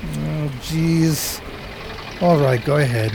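An actor playing a streetwise, loyal homeless pal in a 1920s New York radio play, with slangy, folksy, casual speech. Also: male voice, fairly high pitch, takes it slowly, vocal fry, background noise. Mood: patient, assuring. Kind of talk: radio play acting and storytelling.

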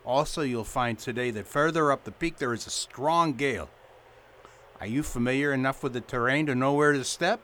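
An actor playing a stern, protective mountain guide in a radio drama, urgent and commanding. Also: male voice, medium pitch, normal speed, a bit bright, a little hiss or hum, close to an everyday voice. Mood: cautioning.

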